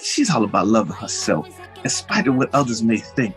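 A man's voice: lowish voice, sing-song, fairly quick, faint music behind. A middle-aged man hosting an interview over a video call, praising his guest effusively. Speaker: host.

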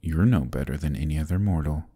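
A man voicing an arrogant, scheming Demon King who taunts and menaces in an ASMR roleplay, often dropping to a husky whisper. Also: a bass voice, lively intonation, quick pace, warm tone, exaggerated voice. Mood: scornful. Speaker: voice actor.

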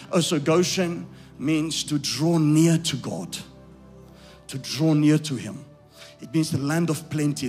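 A male pastor with a high voice preaches live from a church stage mic, softly backed by faint music. He moves from quiet asides to loud shouted peaks, repeating his phrases and checking that the crowd follows. His voice is going hoarse from the strain. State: losing his voice. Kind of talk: live sermon to a congregation.

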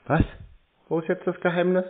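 A man's voice: sits high, level tone, a little fast, phone-quality sound. A man talking casually on the phone as a scam baiter, blunt, dry and needling. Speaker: host, prank-call scam baiter. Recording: thin phone sound, clear noise.

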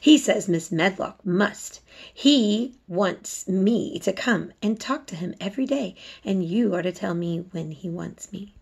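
A woman's voice: medium pitch, animated, unhurried, vocal fry, darkish tone, slightly rough, heavily acted. A woman voicing a curious, matter-of-fact young girl in a storybook, plain-spoken and insistent. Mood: firm.

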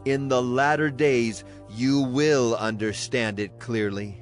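Man, medium pitch, dramatized Bible narration, solemn, declamatory, background music. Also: takes it slowly. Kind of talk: dramatized scripture reading. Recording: clear music behind the voice.

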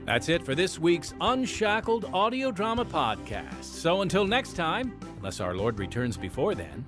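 Male announcer, scripted radio narration, lively varied intonation, deliberate phrasing, soft music bed. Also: a little high. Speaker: podcast host and announcer. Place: studio voice-over.

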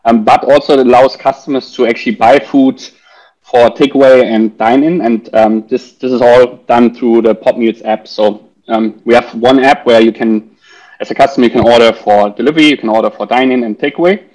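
A man's voice: medium pitch, steady tone, a little fast, a little mellow, rough recording. A man in his thirties explaining his company as a panelist on a video call, in short hesitant phrases. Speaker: startup executive, panelist.

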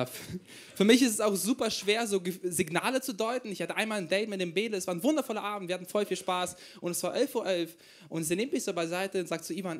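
A young man from Ukraine works a small crowded room with a handheld mic. His voice sits high and he tells self-mocking stories, acting out each quote. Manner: chatty, self-mocking, storytelling with acted-out quotes. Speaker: stand-up comedian. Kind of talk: stand-up comedy set.

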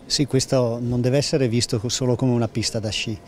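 A man's voice: mid-range voice, flat delivery, fairly quick, a little mellow. A man, explaining things in an interview as a spokesman. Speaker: project spokesman. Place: indoor lobby. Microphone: handheld reporter microphone.

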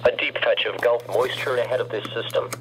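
A man's voice: medium pitch, fairly even intonation, brisk, a bit bright, slight background noise, poor audio. A synthetic American male voice reading an automated weather broadcast through a radio speaker. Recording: humming radio speaker picked up by camera.

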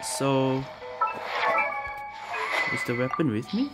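A woman giving casual, low-key gameplay commentary, murmuring to herself as she plays. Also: sing-song, slow pace, rough recording. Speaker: video game streamer. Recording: game music behind the voice.

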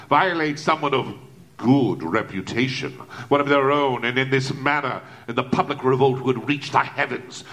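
An actor playing a savage, grandiose murderous alter ego with theatrical, menacing oratory in a gothic audio drama. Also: male voice, fairly high pitch, sharp tone.

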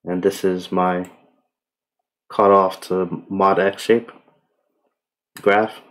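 A man giving a casual software tutorial in a relaxed, unhurried way with tentative asides. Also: low voice. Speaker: tutorial presenter. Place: indoors, voice-over on screen recording.

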